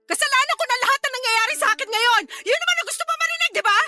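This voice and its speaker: A woman's voice: lively intonation, racing, bright, forceful tone, no echo, soft background music, exaggerated voice. A Filipino woman acting a bitter, hurt older sister lashing back in a radio drama quarrel. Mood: bitter, furious. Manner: shrill, bitter, heated.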